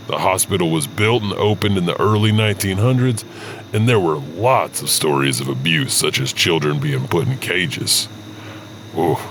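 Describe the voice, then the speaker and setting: A man's voice: deep voice, a bit bright, a little hiss or hum. A man narrating a horror anthology from a script as its host.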